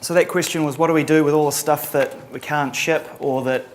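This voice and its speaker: A man's voice: on the high side, fairly even intonation, fairly quick, a little hiss or hum. Man, New Zealand accent, middle-aged, conference presenter, casual, dry humor. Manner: casual, many ums and uhs, dry humor. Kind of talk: relaxed conference talk.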